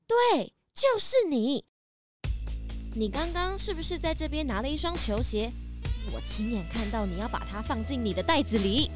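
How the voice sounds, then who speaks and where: A snooty, wealthy lady snake, played by a woman in a children's radio play. She is high-pitched and swoops with haughty, accusing emphasis, over prominent background music. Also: big pitch swings, moderate pace. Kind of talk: children's audio drama acting.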